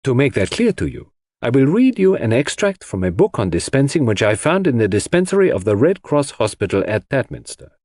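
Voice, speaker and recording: Man acting a theatrical, self-assured detective, lively pitch. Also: no echo, clean studio sound. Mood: methodical, confident. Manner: impressive, deliberate, flourishing. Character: theatrical, self-assured Belgian male detective presenting his deductions. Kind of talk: audiobook character dialogue.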